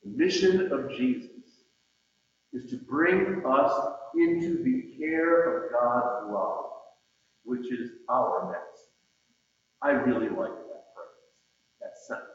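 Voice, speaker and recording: A man delivering a sermon in measured, emphatic phrases with deliberate pauses, reading out scripture and quotations as he goes. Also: medium pitch. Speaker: preacher. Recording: muffled, very echoey hall with long reverb.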